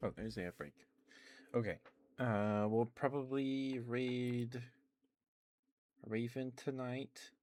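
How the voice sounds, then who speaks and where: A man streaming an ASMR session, rambling softly and chatting with his viewers in a relaxed way. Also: medium pitch, slow pace, pauses often, slight background noise. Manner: soft rambling, talks to chat.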